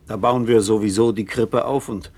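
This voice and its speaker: An actor playing a concerned, thoughtful middle-aged father in a measured, deliberate radio-play style, with a husky voice. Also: lowish voice, steady tone, a little mellow. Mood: conciliatory. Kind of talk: 1960s German radio play acting.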